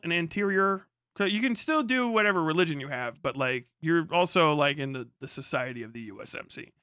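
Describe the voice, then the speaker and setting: Bright, clear American man streaming commentary. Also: fairly high pitch, no echo, studio quality. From United States. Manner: rambling, sardonic, profane. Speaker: live streamer, political commentator. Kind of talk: casual off-the-cuff livestream commentary.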